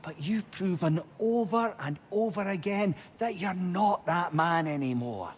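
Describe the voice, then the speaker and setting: A witty older scottish advisor in a game, swingy higher voice, talks a lot. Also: male voice, animated, a little slow, noisy background. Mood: earnest, encouraging. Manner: wry, chatty, counselling. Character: witty, talkative, wise older male companion. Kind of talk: video game cutscene acting.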